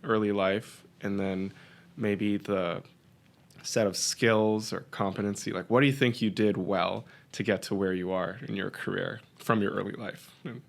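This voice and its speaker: A man's voice: fairly low pitch, fairly even intonation, a little slow, gravelly. A man hosting a podcast, asking his guest questions in an easygoing way. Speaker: podcast host. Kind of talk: interview questions.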